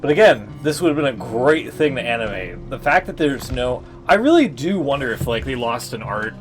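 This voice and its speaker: A man's voice: medium pitch, big pitch swings, normal speed. A man giving casual live-stream commentary on a game in a blunt, rambling way. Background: faint music.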